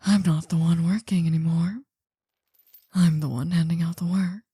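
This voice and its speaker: A woman playing an older female mafia boss in an intimate ASMR roleplay, possessive, doting and soothing, in a breathy half-whisper. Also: fairly low pitch, steady tone, dark tone, dry recording, clean studio sound. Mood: weary, confiding. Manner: slow, soothing, coaxing.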